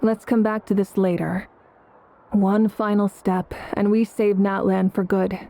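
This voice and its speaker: An actress playing a calm, resolute female leader in a video game, composed and authoritative. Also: mid-range voice, measured pace, natural voice. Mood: firm, resolute. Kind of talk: English video game character acting.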